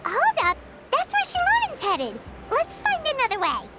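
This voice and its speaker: Squeaky, bubbly little girl adventurer. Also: wildly swooping pitch, normal speed, heavy background noise, heavily acted. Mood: urgent. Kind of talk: anime-style video game voice acting. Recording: loud game music behind.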